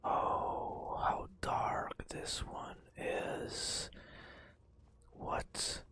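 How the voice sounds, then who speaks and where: Very slow whispering man narrating. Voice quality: breathy whisper. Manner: slow, hushed, dramatic storyteller phrasing with pauses. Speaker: ASMR gaming narrator. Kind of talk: ASMR storytelling over fantasy gameplay.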